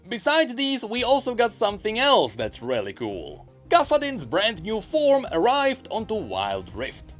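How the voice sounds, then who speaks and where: A host reading scripted gaming news with theatrical emphasis and a sarcastic streak. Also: mid-range voice.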